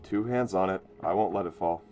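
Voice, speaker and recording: An actor plays a loyal man working security on a risky mission. His voice is fairly low and his delivery plain and understated. Music plays beneath this science fiction audio drama. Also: a little fast, a little mellow, natural voice. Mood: focused.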